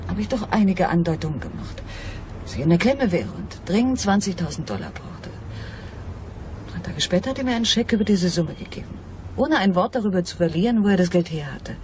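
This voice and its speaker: An actress playing a mysterious swindler who pleads and justifies herself, earnest and dramatic, in in a crime radio drama. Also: female voice, on the low side, a little mellow, lightly acted. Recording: radio play with clear vehicle and ambient noise.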